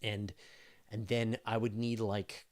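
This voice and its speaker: Low-voiced man chatting casually. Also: level tone, slow, a little mellow. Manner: informal, thinking aloud in broken phrases. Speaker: host of a space news channel. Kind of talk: casual live Q&A chat.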